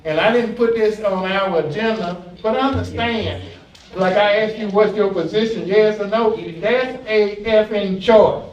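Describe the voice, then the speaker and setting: A man's voice: high-pitched, a little slow, a little hiss or hum. A man moderating a candidate forum in a loose, chatty, joking way. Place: community recreation center hall. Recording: echoey hall from far off, dull.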